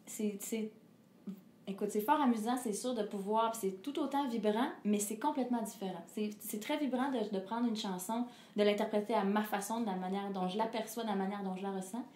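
A woman's voice: mid-range voice, a little fast, darkish tone. Earnest Québécois woman in an echoey room. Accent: Québécois French. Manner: earnest and reflective, with frequent hesitations and laughter. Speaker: singer, interview guest. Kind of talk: candid personal conversation. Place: living room with a fireplace, indoors. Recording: echoey room with faint hiss.

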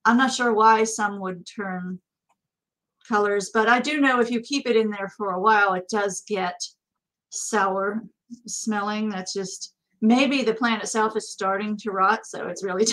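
A woman's voice: mid-range voice, steady tone, moderate pace, a bit bright, low-quality recording. A middle-aged woman with an American accent, co-hosting a casual, conversational question-and-answer chat. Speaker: co-host. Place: small indoor office.

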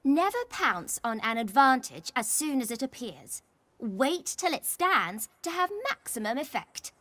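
A woman's voice: fairly high pitch, very animated, measured pace, pushed and bright, no echo, heavily acted. A woman playing a cheeky, mischievous young girl trainee in a video game. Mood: cheeky, reciting. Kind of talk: video game dialogue acting.

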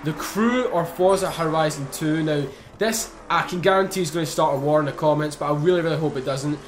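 A young man chatting casually over racing gameplay, excitable, swearing and joking. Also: fairly high pitch, fairly quick, over music. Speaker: gaming YouTuber. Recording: faint game engine noise behind.

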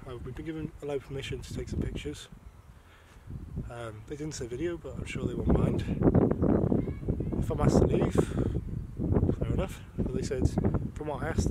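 A young man filming an abandoned building on a handheld camera, talking casually and in hushed asides as he walks through. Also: mid-range voice, steady tone, moderate pace, far from the mic. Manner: hushed, muttered asides while exploring. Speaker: urban explorer vlogger. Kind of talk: casual walkthrough commentary. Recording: echoey rooms, voice off-mic, loud noise.

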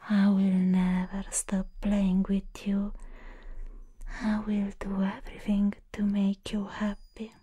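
A young woman performing a hushed, whispered ASMR roleplay as a sweetly possessive living doll, pausing deliberately, close to the microphone. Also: mid-range voice, monotone. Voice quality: breathy whisper. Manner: slow, hushed, deliberate, with long pauses.

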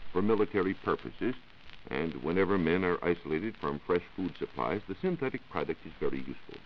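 An American man giving a scripted, measured science talk as a reporter on a 1940s radio show. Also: sits low. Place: radio studio. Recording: muffled 1940s radio recording with hiss.

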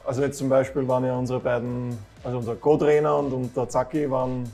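An Austrian man in his thirties sits in a club café and answers interview questions. He sounds calm and unassuming, speaks at a middle pitch, and keeps his sentences plain. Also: quick pace, soft background music. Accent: Austrian German. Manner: calm, modest, plain-spoken. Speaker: professional footballer, guest. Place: football club café with shelves, indoors.

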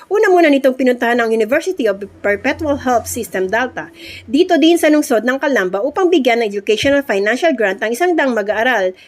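A woman news reporter with a Filipino accent narrates a scripted report. Her voice sits fairly high, and she moves through the copy at a quick pace.

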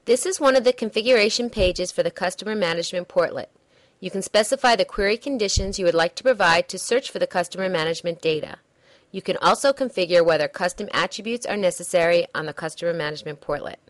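A woman reading a scripted software demo narration in a measured, instructional way. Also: fairly low pitch, dry recording.

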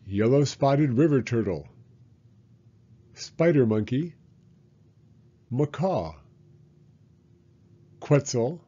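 An older man reading a children's picture book aloud deliberately, with pauses between words. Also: mid-range voice, warm tone, husky, dull audio. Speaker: storyteller.

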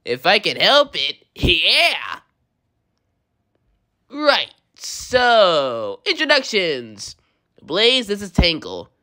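A woman's voice: on the low side, wildly swooping pitch, drawn-out words, pauses often, pushed and bright, full character voice. A woman voicing a cocky, easygoing young male blue hedgehog hero in an amateur comic dub, with breezy, casual delivery.

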